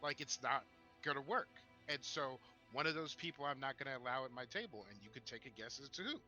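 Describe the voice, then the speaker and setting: A man co-hosting a casual discussion, talking in a halting, stop-start, self-deprecating way as he tells anecdotes. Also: mid-range voice, lively intonation, sharp tone. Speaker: podcast co-host. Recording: dull narrow-band mic, music underneath.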